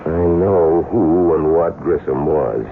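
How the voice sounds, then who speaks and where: A man's voice: a bass voice, takes it slowly, gravelly, background noise, heavily acted. An actor playing an anxious, stammering man caught up with gangsters in a 1970s radio drama. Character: nervous man mistaken for a dead gangster. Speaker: lead character. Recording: lo-fi phone-band old radio recording with music.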